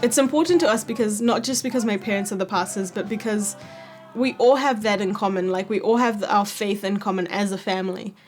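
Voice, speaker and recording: A woman's voice: medium pitch, fairly quick, hardly any pauses, faint music behind. A young woman from Zambia, a student, speaking candidly in a documentary voice-over, often saying "like". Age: young adult. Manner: candid, conversational, filler "like". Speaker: university student.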